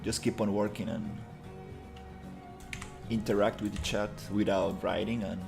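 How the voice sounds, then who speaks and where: A man's voice: fairly low pitch, steady tone, a little slow, gravelly, a little mellow, faint music behind. Laid-back man muttering. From Costa Rica. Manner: laid-back, rambling, many fillers, muttering through his work. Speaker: live streamer. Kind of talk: casual chat while 3D modeling.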